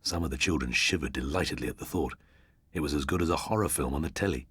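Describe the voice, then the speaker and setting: A man's voice: rumbling low, quick pace, a bit bright, husky, no echo, studio quality. A British man narrating a ghost story for an audiobook in a dramatic, scripted style.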